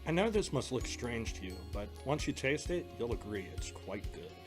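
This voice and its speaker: A genial young man hosting dinner, as acted by a man in an audiobook drama. His delivery is playful and very varied in pitch, with music clearly underneath. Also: very animated, moderate pace, lightly acted. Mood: playfully reassuring.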